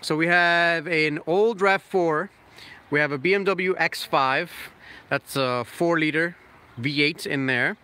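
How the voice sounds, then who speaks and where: A man's voice: a little high, takes it slowly, pushed and bright, smooth. A man in his thirties hosting a casual car livestream, chatting loosely and laughing, a bit loopy from not eating all day. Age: 30s. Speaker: car channel host.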